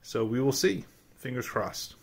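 A man's voice: mid-range voice, expressive, unhurried, gravelly. A man giving a casual voice-over tour of his statue collection room, plain and matter-of-fact with frequent filler words.

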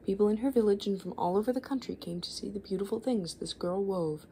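A young woman speaks softly with a lot of creak, often sinking into a whisper. She reads and chats in a loose, informal ASMR manner. There is a noticeable hiss in the room. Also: medium pitch, fast talker. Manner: rambling, informal, gently chaotic asides. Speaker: ASMR creator reading children's books aloud. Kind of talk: soft-spoken ASMR reading and casual chat. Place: small living room, indoors.

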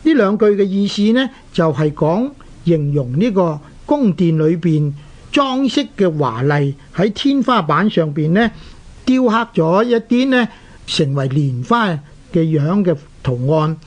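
A radio host with a Hong Kong Cantonese accent, explaining written characters in a deliberate, didactic way. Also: medium pitch, expressive, darkish tone. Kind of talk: explanatory talk on Chinese characters. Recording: dull lo-fi radio recording.

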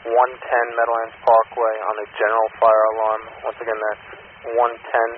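A man working as a fire dispatcher, reading out calls in measured radio dispatch style. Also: medium pitch. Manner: flat, measured call-outs. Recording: tinny scanner radio with static.

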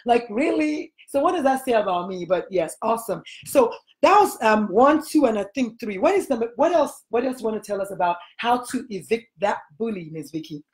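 A woman's voice: on the high side, expressive, brisk, few pauses. A middle-aged woman with a Nigerian accent, hosting a casual interview chat from a small room at home, talking emphatically into a laptop webcam. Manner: emphatic, gestures broadly while talking. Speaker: podcast host.

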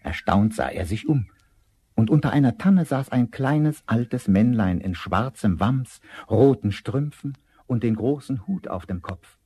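A man narrating a vintage fairy-tale radio play as an expressive, measured storyteller. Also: medium pitch, husky, dry recording. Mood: gentle, descriptive.

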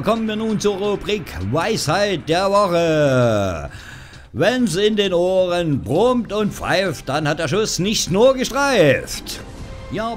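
A man hosting a gaming news show, reading a scripted voice-over with wry joking asides. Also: high voice. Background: background music.

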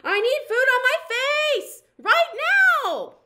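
A woman's voice: very high voice, expressive, slow, bright, forceful tone, voice pushed up, full character voice. A woman voicing a demanding, vain paper plate lady toy from a storybook, insistent and fussy.

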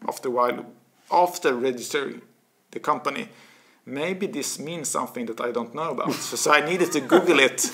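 A man's voice: medium pitch, fairly quick. A man in his forties with a Swedish accent, a guest talking animatedly and restarting words as he thinks, recorded on a desk mic in a small office studio. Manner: animated, gestures a lot, repeats words while searching. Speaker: guest, author. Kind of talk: casual interview talk.

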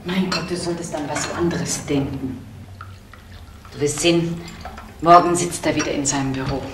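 An actress playing a worried middle-aged mother in a German crime radio play, soothing and gently reproving. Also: low-pitched, fairly even intonation, moderate pace, natural voice. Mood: exasperated. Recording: echoey room, clearly noisy old recording.

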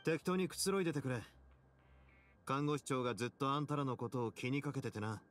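An actor playing a self-assured prison fortress boss in an anime game, casual and dryly joking while in command. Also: male voice, mid-range voice, animated, brisk, a bit bright, natural voice. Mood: wry, relaxed.

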